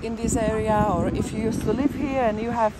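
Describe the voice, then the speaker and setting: A woman's voice: a little high, fairly even intonation, a little fast. A woman walking and filming, chatting casually and musing aloud as she narrates a street tour. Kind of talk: casual walk-and-talk commentary. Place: busy shopping street outdoors. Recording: outdoor street noise. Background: loud traffic and street noise.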